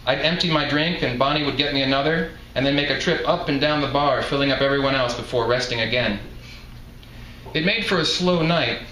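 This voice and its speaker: Bright-voiced man reading fiction, echoey room. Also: medium pitch, a little fast. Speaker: author reading his novel. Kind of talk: literary reading aloud, first-person narration. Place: live reading event indoors. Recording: echoey room, faint background noise.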